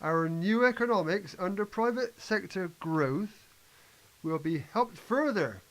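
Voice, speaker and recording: A man's voice: sits high, lively intonation, unhurried, fairly bright tone, low-quality recording. A man in an amateur play playing a confident, impatient economic reform advocate, lecturing emphatically and stumbling slightly over lines. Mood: assured. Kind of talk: amateur scripted play reading.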